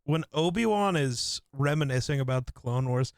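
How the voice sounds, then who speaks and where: A man co-hosting a casual podcast, an excitable fan who swears casually. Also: mid-range voice, normal speed, dry recording, clean studio sound. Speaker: podcast co-host. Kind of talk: casual chat.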